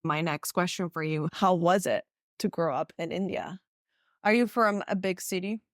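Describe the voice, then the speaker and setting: A woman's voice: lowish voice, normal speed, no echo, clean studio sound. A woman hosting a podcast, asking curious, probing questions in a conversational way. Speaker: podcast host. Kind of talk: conversational interviewing.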